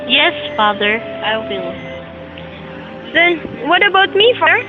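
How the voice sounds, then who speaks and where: A woman's voice: sits high, sing-song, slow, exaggerated voice. A young woman acting a rich man's respectful, earnest son in an amateur student audio drama. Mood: pleading. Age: young adult. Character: grown sons of a rich man, respectful and pleading. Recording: muffled amateur recording with music.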